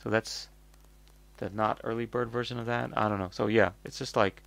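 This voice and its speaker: A man's voice: mid-range voice, steady tone, normal speed, gravelly, fairly bright tone. A North American man hosting a casual, off-the-cuff product review, rambling and blunt as he thinks aloud.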